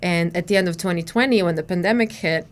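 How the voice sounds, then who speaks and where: A woman's voice: lowish voice, fast talker. A woman in her thirties from Croatia, speaking English, chatting casually as a podcast guest with lots of fillers and restarts. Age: 30s. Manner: chatty, frequent restarts and "you know" fillers. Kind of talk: casual conversational storytelling.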